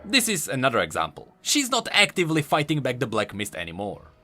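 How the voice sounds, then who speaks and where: A man's voice: medium pitch, wildly swooping pitch, brisk, sharp tone, a little hiss or hum. A man giving emphatic, explanatory scripted commentary on video game lore as an online host. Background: background music.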